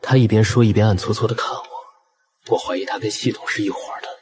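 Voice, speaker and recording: A man playing an unruffled young hero who tells his own story in an animated web drama, in a deadpan, sardonic manner. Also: on the low side, fast, close to an everyday voice. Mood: suspicious. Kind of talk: first-person narration in animated web drama. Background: music.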